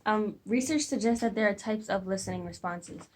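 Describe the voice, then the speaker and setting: Female student interviewer, husky voice, hesitant, trips over her questions. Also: medium pitch, moderate pace.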